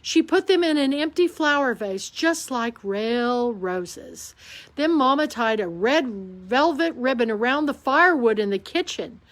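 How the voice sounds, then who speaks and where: A woman reading a children's picture book aloud at storytime, slowly and with lots of expression. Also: on the high side. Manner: slow, expressive read-aloud delivery. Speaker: storytime reader.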